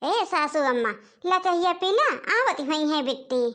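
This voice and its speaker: A patient young daughter-in-law from a village cartoon, played by a woman. Her voice is high and has an Awadhi accent. She soothes and placates respectfully. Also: animated, measured pace, a bit bright. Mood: soothing. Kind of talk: animated comedy dialogue.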